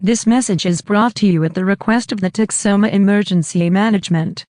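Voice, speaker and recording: A woman reading a formal scripted emergency message in a measured, official manner. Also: mid-range voice, a little mellow. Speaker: emergency alert announcer.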